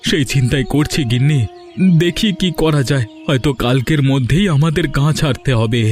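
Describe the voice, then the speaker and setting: A man's voice: medium pitch, fairly quick, a little mellow, lightly acted. A man voicing an earnest, plaintive village husband in a moral-story cartoon. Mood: worried. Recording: music behind the voice.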